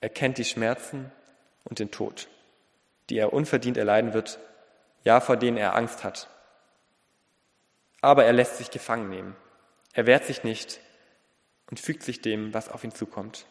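A man delivering a sermon in an earnest, deliberate way, in short phrases with pauses and a slight lisp. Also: mid-range voice, steady tone, gravelly. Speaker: preacher. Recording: long reverberant hall.

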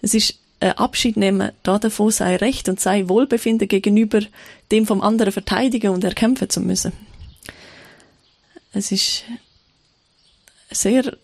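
A woman giving a sermon in a thoughtful, searching way, often pausing with fillers and restarting her sentences. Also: mid-range voice, fairly even intonation, vocal fry, no room sound. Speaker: preacher.

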